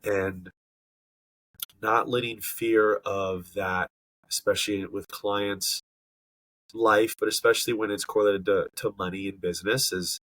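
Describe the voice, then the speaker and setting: A man's voice: on the low side, steady tone, measured pace, fairly bright tone, no room sound, poor audio. A man on a podcast, talking earnestly and emphatically with a stuffy nose from allergies, often searching for words. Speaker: podcast co-host. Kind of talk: casual podcast conversation.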